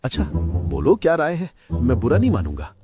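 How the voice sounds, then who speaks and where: A man voicing a scheming, sickly wolf who craves a crown, theatrical and self-important, in an animated moral story. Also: medium pitch, moderate pace, over loud music. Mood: smug.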